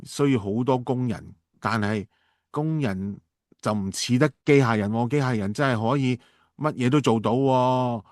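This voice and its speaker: A man playing a halting but persuasive businessman in a radio drama. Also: medium pitch, dry recording, studio quality, lightly acted. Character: smooth-talking businessman asking a partner for urgent money. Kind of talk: amateur Cantonese radio drama dialogue.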